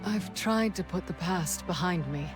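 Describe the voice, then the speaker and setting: Casting: actress for earnest noblewoman in game drama, unhurried lower female voice, subdued grief, musical underscore. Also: natural voice. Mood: sorrowful. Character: grieving noblewoman, sincere and tender. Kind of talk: fantasy game cutscene acting. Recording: music behind the voice. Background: game score music.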